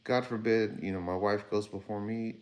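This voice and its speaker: A man in his fifties with a very low, deep voice chats loosely on a livestream. He rambles from thought to thought and calls out to his viewers by name. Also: steady tone, normal speed. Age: 50s. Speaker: livestream host. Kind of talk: casual livestream chat reading viewer comments.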